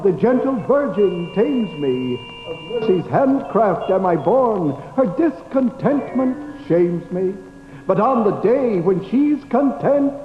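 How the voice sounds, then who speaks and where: An actor voicing a savage, mystical unicorn, reciting solemn singsong verse in a radio drama. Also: male voice, high voice, heavily acted. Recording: muffled, echoing reverberant radio effect.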